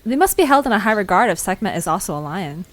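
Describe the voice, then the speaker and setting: A woman's voice: medium pitch, big pitch swings, fast talker, close to an everyday voice. A woman playing an eager, inquisitive young adventurer in an audio drama. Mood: curious. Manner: eager, questioning. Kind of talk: scripted audio drama acting.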